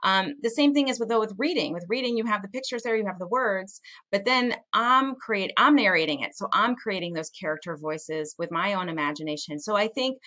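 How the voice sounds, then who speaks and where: A woman's voice: medium pitch, a little fast, pushed and bright. A middle-aged woman explaining things conversationally as a panel guest, on a headset in a home room. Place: small home room, indoors. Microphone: headset on video call.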